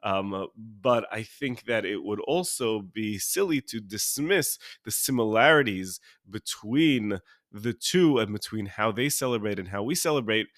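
An American man with a fairly low voice, slightly sniffly from a cold, recorded dry and close. He teaches like a podcast host talking to friends, informally, often stopping to rephrase. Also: a bit bright, no echo. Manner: informal, halting, rephrasing as he goes. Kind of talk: conversational solo podcast teaching.

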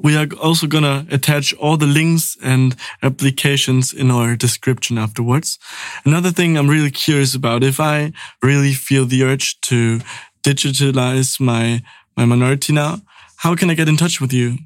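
A man's voice: medium pitch, fairly even intonation, normal speed, dry recording. A young man from northern Germany, hosting a podcast in a chatty way full of "um" and "like". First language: Danish. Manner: chatty, with many fillers like "um" and "like". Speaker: podcast host. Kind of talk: casual podcast conversation.

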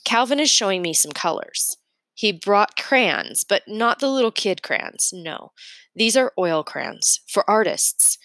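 A woman reading a children's novel aloud in an expressive, first-person storybook style. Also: mid-range voice, unhurried, no room sound.

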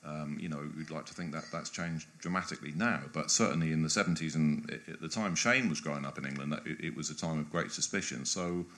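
Man, very low voice, interview guest, biographer, discursive, halting, restarts phrases. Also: fairly bright tone.

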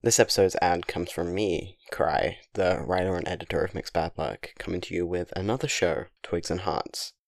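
A man's voice: deep voice, steady tone, normal speed, gravelly, few pauses, dry recording. A man who makes podcasts, reading a scripted crowdfunding promo. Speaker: podcast writer and editor.

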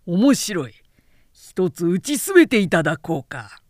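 A man's voice: high voice, very animated, a little slow, no echo, studio quality. A woman voicing a proud, angry male foot-soldier spearman who speaks bold, formal samurai Japanese in a period story. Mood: defiant. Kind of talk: literary period-story reading.

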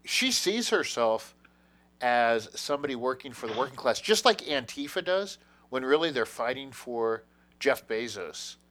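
A man in a casual chat, talking in an animated, mocking way and calling his friend dude. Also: medium pitch.

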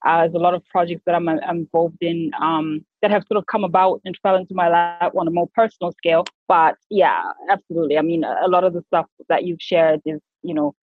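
A woman's voice: on the low side, steady tone, a little fast, few pauses, dry recording. A woman in her thirties from Zimbabwe, a PR and communications strategist, talking about her career in a conversational interview with many restarts and fillers. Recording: tinny phone-like line.

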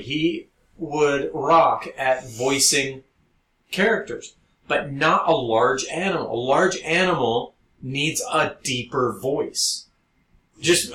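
Guy cohosting a podcast, just chatting, mid pitch voice, roomy echo. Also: a bit bright. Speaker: podcast co-host. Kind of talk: casual chat. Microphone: podcast recording with headphones. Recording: roomy echo, noticeable background noise.

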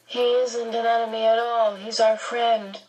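A woman plays a little storybook boy under something's control. The voice is raised in pitch, measured and coldly reasonable, with a canned calm and a sharp edge. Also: poor audio.

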